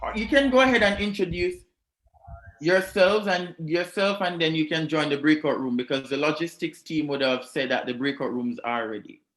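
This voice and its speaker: Male conference host, slightly high voice, friendly and welcoming, roomy video-call audio. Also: moderate pace, a bit bright. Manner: friendly, welcoming, runs through logistics. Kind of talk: hosting an online youth conference. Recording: roomy echo.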